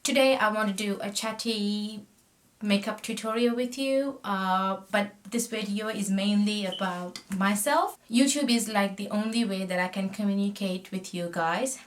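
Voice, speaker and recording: A talkative woman in her thirties from Sri Lanka speaks to a close camera in a small indoor room. Her voice sits at a middle pitch, and she chats in a friendly, rambling way while working on her makeup, often trailing off mid-sentence. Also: measured pace. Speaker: makeup vlogger. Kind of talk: chatty makeup tutorial. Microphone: camera facing her at close range.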